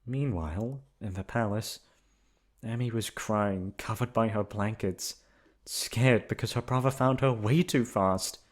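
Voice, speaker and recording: Male ASMR storyteller, lower-pitched, reading a scripted bedtime tale. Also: unhurried.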